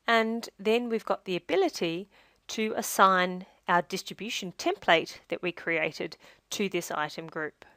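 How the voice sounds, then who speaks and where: A woman narrating a scripted software tutorial as a demonstrator, in a measured, step-by-step instructional way. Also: mid-range voice, no room sound, studio quality.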